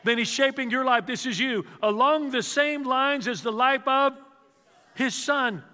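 A man preaching a sermon, telling the story with lively energy and quizzing the congregation. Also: very high-pitched, measured pace, in a large hall. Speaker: pastor.

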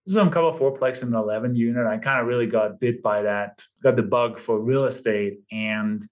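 A man in his fifties from Denmark with a slight Danish accent, a podcast guest telling his story in a relaxed way with fillers. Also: mid-range voice, fairly quick. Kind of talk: casual interview chat.

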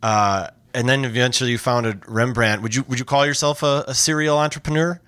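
A man hosting an interview, with a middle-pitched voice and a friendly, casual way of asking questions. The sound is dry and close, with almost no room around it. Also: steady tone, fairly quick, fairly bright tone, no room sound. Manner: friendly, brief acknowledgments, some restarts. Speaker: podcast host, interviewer.

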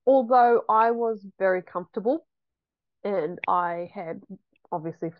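A woman's voice: medium pitch, unhurried, no echo, narrow, tinny audio. A woman, a homeschooling mother chatting reflectively in an interview with frequent ums. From New Zealand. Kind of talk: casual interview chat.

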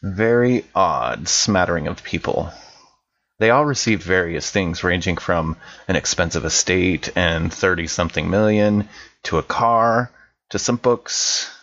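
A man playing a wary, chummy guy in his thirties, speaking a scripted personal message in an informal, measured way. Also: low voice, fairly bright tone, muffled recording, a little hiss or hum. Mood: amused, amazed. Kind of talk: scripted in-character monologue, conversational.

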